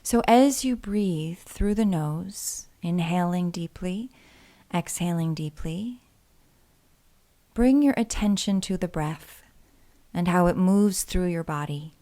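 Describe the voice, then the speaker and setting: A woman leading a guided body scan meditation in a soothing, gentle and unhurried way, leaving pauses between phrases. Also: on the low side.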